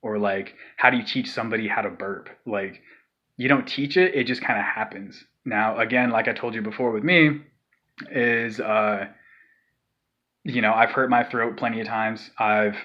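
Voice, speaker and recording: A man's voice: on the low side, fairly even intonation, moderate pace, muffled recording. Man rambling through a vocal tutorial. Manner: rambling, loose, filler-heavy, demonstrates breaths and sighs. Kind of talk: casual spoken tutorial.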